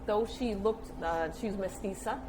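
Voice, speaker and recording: A woman's voice: medium pitch, normal speed. Older woman, noisy recording. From United States. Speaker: interview guest, communications specialist. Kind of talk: conversational interview, anecdotes. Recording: clear background noise and room ambience.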